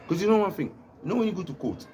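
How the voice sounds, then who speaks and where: A man's voice: high-pitched, sing-song, racing, a little mellow. A man from Africa vlogging in a rambling monologue, repeating himself and often asking if you know what he means, smoking while he talks. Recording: noisy background hiss.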